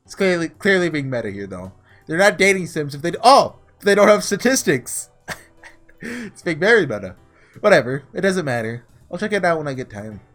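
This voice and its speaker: A man doing a casual let's play, reading story text aloud and breaking off into excitable asides, with game music behind him. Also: on the high side, moderate pace. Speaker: gaming video creator doing a blind playthrough.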